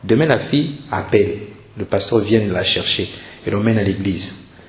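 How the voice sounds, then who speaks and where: A man's voice: on the low side, moderate pace. A man preaching a church sermon as a pastor, telling stories and exhorting with emphatic repetition. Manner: animated storytelling with repetition for emphasis. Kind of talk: Sunday church sermon, storytelling exhortation and call to prayer. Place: indoor church service. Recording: roomy church sound with music.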